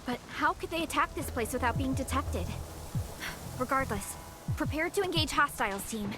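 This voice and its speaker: An actress playing a composed, vigilant female team leader in a sci-fi game, with a measured, authoritative manner. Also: high voice, lively intonation, music in the background. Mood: urgent, commanding. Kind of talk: video game voice acting.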